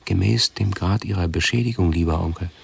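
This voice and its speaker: A pedantic, self-absorbed nephew in a radio drama. The voice is low, husky and full of fry. He speaks haltingly and anxiously, forever correcting himself. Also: heavily acted. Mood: hesitant, confiding.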